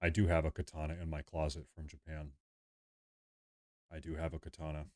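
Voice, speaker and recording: Low-voiced man chatting on a livestream. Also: flat delivery, fairly quick. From United States. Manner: rambling, repeats himself, reads chat aloud. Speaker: livestream host. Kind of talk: casual livestream chat.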